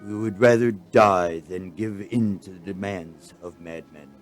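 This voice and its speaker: A man playing a humble, gloomy alien chancellor in a radio play, speaking in a formal, halting, resigned manner. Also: low voice, soft background music. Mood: courtly, resigned.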